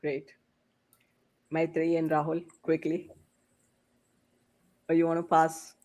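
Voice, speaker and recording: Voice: mid-range voice, completely flat delivery, slow pace, darkish tone. Informal panel host on a video call. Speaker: podcast host moderator. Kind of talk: live online panel moderation.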